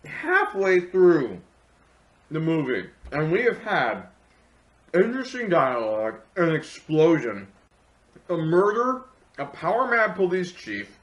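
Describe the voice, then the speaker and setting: A man giving a comedic film review with sarcastic, theatrical delivery. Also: on the high side. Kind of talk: comedic scripted review commentary. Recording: echoey room.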